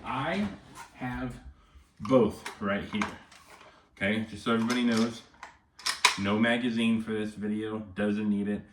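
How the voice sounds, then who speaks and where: Man, low voice, casual vlog, rambling, keeps saying okay, camera audio, home office. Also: steady tone, unhurried, long pauses, noisy background. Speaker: firearms YouTuber. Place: messy home office room. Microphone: no external mic, camera audio.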